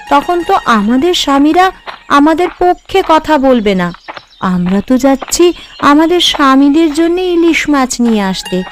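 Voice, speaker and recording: A woman voicing an earnest, anxious young village housewife in a cartoon, explaining herself carefully. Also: sits high. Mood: worried. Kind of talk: cartoon voice acting.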